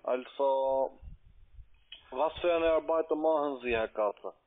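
A man with a foreign accent, speaking halting, broken phrases in a phone conversation as a call centre agent. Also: mid-range voice. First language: not German. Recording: tinny, narrow phone line.

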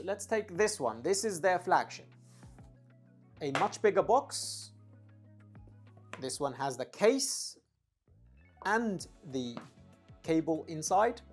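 A man's voice: sits high, a little mellow. A man in his thirties giving a casual product review to camera as an audio gear reviewer, recorded indoors on a lapel mic. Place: indoor home studio room at a desk. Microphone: lapel mic clipped to shirt.

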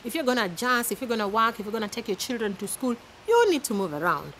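A woman in her thirties from Kenya with a Kenyan accent, giving casual advice to camera in a chatty, animated way, filmed outdoors in a garden. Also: on the high side, fast, a little hiss or hum. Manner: chatty, animated, laughs often, with repeated restarts. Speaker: vlogger. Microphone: camera at arm's length.

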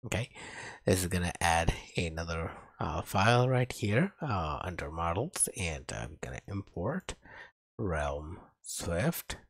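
A man narrating a coding tutorial as an instructor, speaking haltingly as he types along, with a husky voice. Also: low voice, animated, no echo. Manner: halting, talks while typing. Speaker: programming instructor.

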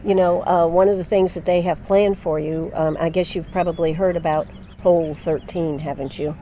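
An older woman hosting a live radio interview outdoors at a golf course, chatty and unhurried, with plenty of fillers, into a handheld mic. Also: fairly low pitch, steady tone, warm tone, a little hiss or hum.

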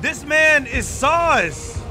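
A young American man giving casual, excitable let's-play commentary, slangy and repeating his phrases. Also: very high-pitched, very slow. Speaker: gaming YouTuber. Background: game music.

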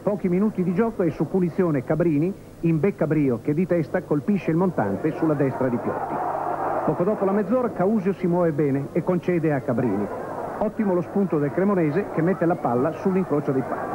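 A man's voice: fairly high pitch, expressive, a little fast. A man reporting on football in a scripted voice-over with short, clipped phrases. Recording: noisy, phone-like old television broadcast.

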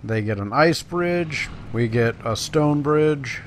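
Male let's play host, slow and casual, self-mocking wit, noisy game sound behind. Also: mid-range voice, expressive. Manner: self-deprecating, wry. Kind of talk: casual gameplay commentary. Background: video game battle sounds.

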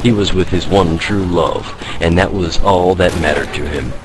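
A man's voice: low voice, normal speed, rough recording. A man giving a mock-serious reading of an amateur fan fiction story. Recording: loud game music over voice chat.

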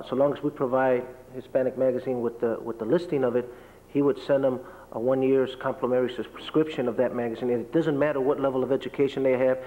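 A man speaking as a panelist in a large lecture hall, explaining at length in a steady way and listing things. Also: medium pitch. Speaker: panelist, business association leader. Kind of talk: panel discussion answer. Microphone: table microphone. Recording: reverberant hall, dull lo-fi tape.

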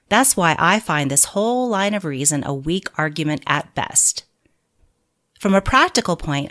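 Low-pitched woman narrating an audiobook. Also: fairly bright tone. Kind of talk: scripted audiobook narration of a political manual.

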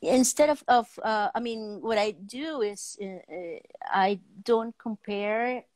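A woman in her fifties hosting a casual interview on a video call, pausing often as she searches for words. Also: medium pitch, a little mellow, dry recording. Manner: hesitant, pausing mid-sentence to search for words. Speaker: talk show host. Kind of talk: casual interview chat about art. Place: indoor room at home, on a video call.

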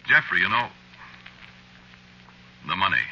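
Deep-voiced, guilt-ridden man. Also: very animated, pushed and bright, heavily acted. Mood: anxious. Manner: halting, brooding delivery. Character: guilt-ridden meek married man confessing his crimes. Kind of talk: 1940s radio drama acting, first-person narration. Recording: muffled, hissy old radio recording.

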